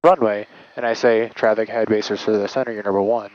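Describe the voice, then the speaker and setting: A man's voice: lowish voice, steady tone, fairly quick, darkish tone, lo-fi sound. A man working as an air traffic controller, giving explanatory interview answers full of aviation jargon.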